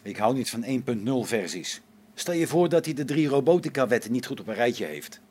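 Male actor as skeptical engineer, raspy voice, lively pitch swings, informal, wary, science fiction radio drama. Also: a little fast. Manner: informal, expressive.

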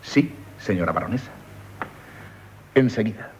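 Animated, obsequious inn waiter. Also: male voice, on the low side, a little slow, dull audio, a little hiss or hum. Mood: deferential. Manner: deferential, ceremonious. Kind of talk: 1970s radio theatre comedy acting.

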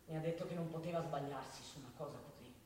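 An actress playing an anxious, insistent female school principal in a stage drama, speaking haltingly and with strain. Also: deep voice, mellow, close to an everyday voice. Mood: grave, halting. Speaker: stage actor. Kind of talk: Italian theatre drama, naturalistic dialogue. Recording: roomy, distant stage recording with faint noise.

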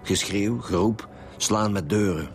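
A man's voice: on the low side, unhurried, faint music behind. An actor playing a stern, dogged male chief inspector in a radio drama, speaking blunt, commanding Flemish Dutch. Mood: brisk, determined.

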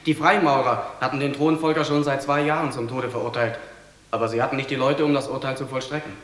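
A man playing a defendant giving measured, careful testimony in a German radio drama. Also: mid-range voice, fairly even intonation, fairly bright tone, close to an everyday voice. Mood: earnest. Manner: measured, explanatory. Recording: echoey hall with long reverb.

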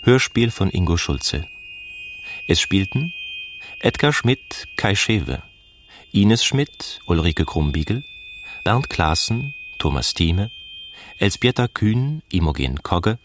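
A man's voice: deep voice, very animated, takes it slowly, long pauses. A man reading radio drama credits as a scripted announcement. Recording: distant sound with clear background hum.

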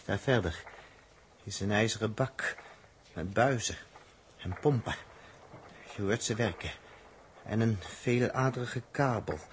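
An actor playing a calm, dryly joking male space explorer in a 1960s radio drama. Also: on the low side, a little hiss or hum, lightly acted.

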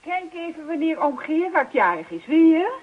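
An actress playing a nagging, neglected wife in a radio play, calling out with persistent, reproachful questions. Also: female voice, sits high, big pitch swings, moderate pace. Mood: insistent, indignant. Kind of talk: Dutch radio play acting. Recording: dull lo-fi old radio recording.

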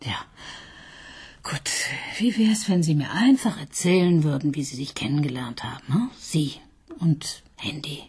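An actress playing a brisk, dryly ironic police detective in a radio crime drama. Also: female voice, low-pitched, a little mellow, slightly rough, heavily acted. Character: busy female murder detective, dry and brisk.

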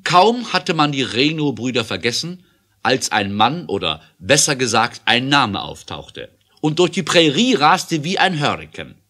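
A man's voice: medium pitch, big pitch swings, unhurried, pushed and bright. A man narrating an adventure audio drama in an emphatic, theatrical way.

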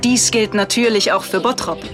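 A woman's voice: medium pitch, moderate pace, over music, close to an everyday voice. An actress in a radio drama playing an earnest, uptight, self-important eco-minded woman mayor, declaiming a political speech. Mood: earnest, campaigning. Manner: pompous, declamatory.

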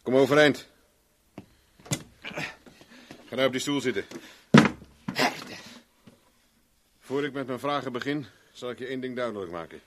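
An actor playing a cool, determined private investigator with a dry, self-assured manner in a 1970s radio play. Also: male voice, mid-range voice, rapid-fire, lightly acted. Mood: in control. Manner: dry, self-assured, talks to himself while searching. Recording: noisy old radio drama recording.

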